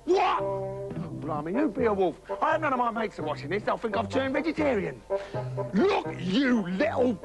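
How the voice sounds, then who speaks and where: A man's voice: extremely high pitch, very animated, normal speed, loud music behind the voice, heavily acted. A man voicing a greedy, rude, impatient wolf in a children's story, blustering and wheedling.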